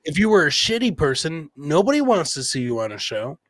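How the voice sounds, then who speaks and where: A man's voice: on the high side, sing-song, brisk, fairly bright tone, no echo, studio quality. A man hosting a casual podcast, talking bluntly and swearing freely like he is among buddies. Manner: blunt, profane, buddy-like talk. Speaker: podcast host.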